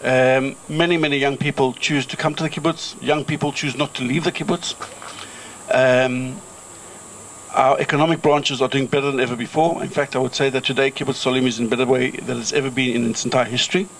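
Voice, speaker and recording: A man's voice: medium pitch, steady tone, fairly quick, a little hiss or hum, low-quality recording. South African man speaking outdoors. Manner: chatty anecdotal storyteller with filler-laden restarts. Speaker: kibbutz chairman. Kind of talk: speech at an outdoor reunion gathering.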